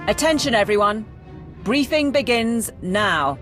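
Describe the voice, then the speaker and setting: A woman playing a brisk, serious military officer in a video game, speaking in a clipped, businesslike way. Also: on the high side, lively intonation, over music. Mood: commanding. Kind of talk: video game cutscene acting.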